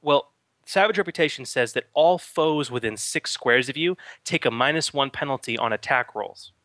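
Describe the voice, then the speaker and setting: A man's voice: mid-range voice, moderate pace, a bit bright, no echo. A man hosting a casual tabletop game podcast, explaining abilities with enthusiasm and jokes. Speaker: game master and podcast host.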